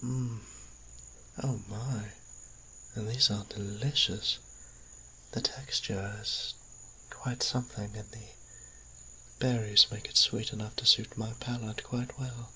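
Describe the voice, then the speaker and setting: A man playing a kindly, genteel vampire priest in an audio drama, speaking politely and courteously with pauses mid-phrase. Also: lowish voice, fairly even intonation, bright, forceful tone, breathy edge, heavily acted, rough recording. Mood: gracious, pleased. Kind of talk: scripted audio roleplay drama.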